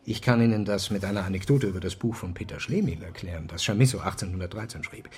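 An actor playing a self-assured, dominant intellectual in a radio drama, explaining insistently in a deliberate, lecturing way. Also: male voice, low voice, a little mellow, lightly acted. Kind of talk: German radio drama dialogue.

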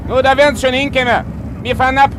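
Hoher, bayrischer Münchner Kutscher. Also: male voice, very high-pitched, fairly even intonation, fast talker. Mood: chatty, puzzled. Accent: Bavarian. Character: chatty, puzzled Munich cab driver. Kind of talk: German radio drama acting. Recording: loud street and vehicle noise.

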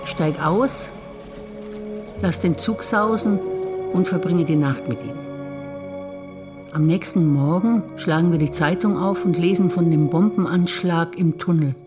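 Woman calmly reciting memories on stage. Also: fairly low pitch, unhurried, long pauses, over music. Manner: calm, reflective storytelling. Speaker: stage actor.

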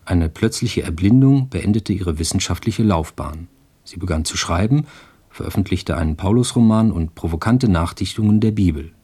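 Male radio presenter, deep voice with some rasp, scripted introduction. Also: expressive, moderate pace, mellow.

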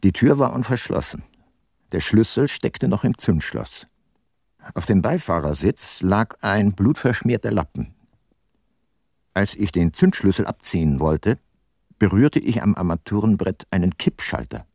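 An actor playing a world-weary private detective who narrates his case soberly in a crime radio drama, with a husky voice. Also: fairly low pitch, expressive, a little slow, darkish tone, dry recording, clean studio sound, heavily acted. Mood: sober, reflective.

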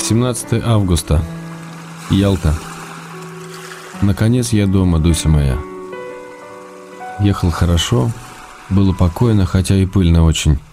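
An actor playing a weary, affectionate writer husband, reading his letters in a restrained, gentle way in a radio play. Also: low-pitched. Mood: calm, fond.

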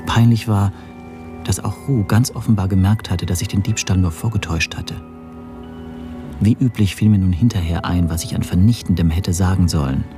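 An actor narrating a radio drama as a rueful man recalling events in a measured, introspective way. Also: low voice. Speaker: first-person narrator.

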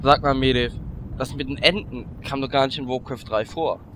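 A man's voice: mid-range voice, steady tone, normal speed, noisy background, natural voice. A man voicing a vain, boastful gnome warlock with a pompous, theatrical delivery in a German audio drama parody. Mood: puzzled. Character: vain, self-important male gnome warlock, boastful womanizer.

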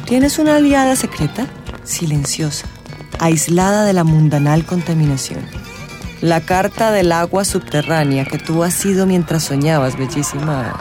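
A woman acting a mystical, teasing tarot reader in a radio drama, theatrical and suggestive, with dramatic pauses. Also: deep voice, natural voice. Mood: mysterious, intent. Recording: music behind the voice. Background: music.

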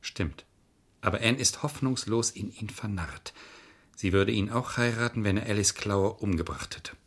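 Ein Schauspieler spielt in einem Krimihörspiel der 70er einen strengen, grüblerischen Anwalt, der präzise und streitbar argumentiert. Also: male voice, on the low side, moderate pace, breathy edge. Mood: grimly determined. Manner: earnest, precise, argumentative. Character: stern, insistent male lawyer, brooding over a case. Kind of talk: 1970s German radio crime drama acting.

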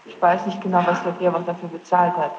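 A young woman testifying as a witness under questioning, speaking in a defensive, insistent and halting way. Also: lowish voice, completely flat delivery. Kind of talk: courtroom testimony. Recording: muffled, noisy archival tape in echoing hall.

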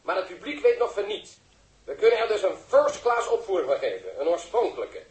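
An actor playing a fussy, bossy quiz host in a 1960s radio drama, formal and officious. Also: male voice, high voice, big pitch swings, fairly quick. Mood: officious, insistent. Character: fussy, bossy male TV quiz host directing a staged scene. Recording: roomy old radio recording.

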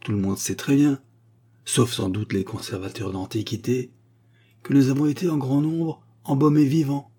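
A man's voice: mid-range voice, fairly even intonation, moderate pace, mellow, husky, voice pushed up, close to an everyday voice. A man voicing an irritable ancient Egyptian mummy who scolds and insults in a raised voice. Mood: irritated. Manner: scornful, peevish scolding.

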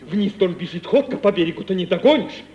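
Swooping high-voiced merchant's son. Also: male voice, fairly quick, highs cut off. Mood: dreamy, excited. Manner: animated storytelling, bursting into laughter and exclamations. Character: lively reckless young Russian merchant's son, boastful and sweet-talking. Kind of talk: mid-century theatrical radio play acting. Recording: noisy 1950 radio play recording.

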